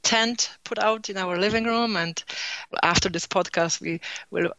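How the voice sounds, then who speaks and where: Polish-accented woman, 30s, bright clean voice, friendly guest, dry close sound. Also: medium pitch, measured pace, no room sound, highs cut off. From Poland. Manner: warm and chatty, with many "you know" fillers and frequent laughs. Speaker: podcast guest, speech pathologist and software founder. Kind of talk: casual interview answers. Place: home.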